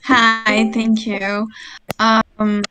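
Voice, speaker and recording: A woman in her twenties with a Brazilian Portuguese accent, introducing herself shyly with many pauses. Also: fairly high pitch, completely flat delivery, bright, forceful tone, poor audio. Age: 20s. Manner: shy, hesitant, many ums. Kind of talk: self-introduction on a call.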